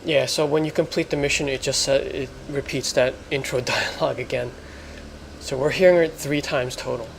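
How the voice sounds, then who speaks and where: A man hosting a let's play, explaining things in casual, halting gameplay commentary. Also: medium pitch, fairly even intonation, gravelly, a little hiss or hum, low-quality recording. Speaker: gamer, let's play host.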